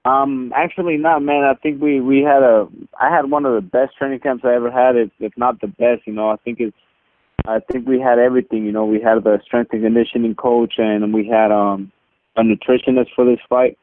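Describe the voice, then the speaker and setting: A man speaking as a boxer on a press call, answering casually and haltingly with repeated words. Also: medium pitch, fairly even intonation, no echo. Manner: halting, repeats words, peppers talk with "you know" and "man". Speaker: boxer being interviewed. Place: phone line on a teleconference. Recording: narrow muffled telephone conference line.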